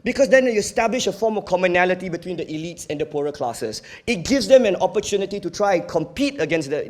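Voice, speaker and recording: A young man with a Singaporean accent giving a competitive debate speech in an earnest, emphatic, argumentative manner. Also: high-pitched. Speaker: university debater.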